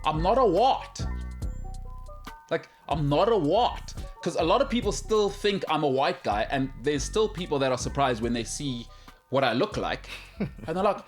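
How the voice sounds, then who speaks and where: A man's voice: on the high side, expressive, moderate pace, soft background music. A man hosting a sports talk show, chatting casually and laughing easily. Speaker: sports radio host. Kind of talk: casual studio banter.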